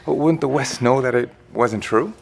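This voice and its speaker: A man's voice: medium pitch, normal speed, gravelly. A man playing a cheeky, flirtatious secret lover in an audio drama, with a playful, casual manner. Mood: casual, curious.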